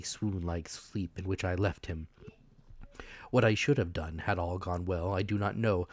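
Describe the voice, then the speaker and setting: Male narrator, low pitch, dramatic storytelling, audiobook reading, dry recording. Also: a little mellow, dry recording.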